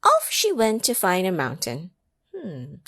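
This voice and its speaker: A woman reading a picture book aloud to children, pausing to ask them questions and sometimes dropping to a whisper. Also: fairly low pitch, no echo, clean studio sound. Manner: animated, asks the listening children questions; drops into whispers for effect. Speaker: children's story reader. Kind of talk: read-aloud storytime for children.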